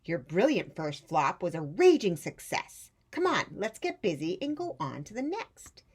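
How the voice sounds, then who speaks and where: A woman's voice: fairly low pitch, very animated, measured pace, slightly rough, exaggerated voice. A woman reading a spirited, encouraging elderly great-great-aunt in a children's storybook, with an emphatic way of talking. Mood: jubilant, encouraging. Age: older. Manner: exuberant, emphatic.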